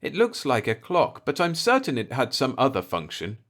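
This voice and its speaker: A man's voice: mid-range voice, huge pitch swings, normal speed, a bit bright, pitched above the performer's own voice. A man voicing an eager, brash human explorer, emphatic and argumentative, in a science fiction story. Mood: dismissive, curious.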